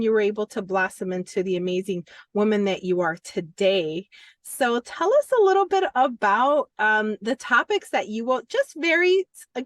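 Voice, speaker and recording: A woman co-hosts a podcast, greeting listeners and the guest effusively. Her voice sits somewhat high and sounds dry and close. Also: dry recording. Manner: effusive, warm praise. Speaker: co-host. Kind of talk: podcast interview.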